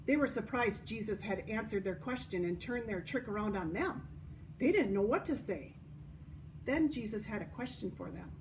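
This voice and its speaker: A woman hosting a children's story time at a church, reading and explaining in a deliberate way for young listeners. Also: medium pitch, animated, darkish tone. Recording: distant microphone, noticeable background noise.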